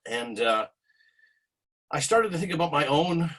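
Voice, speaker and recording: A man's voice: a little high, animated, unhurried, a bit bright. A man in his forties, a guest speaker recalling his past in a rambling way on a video call, with many restarts. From Canada. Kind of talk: casual storytelling on a video call.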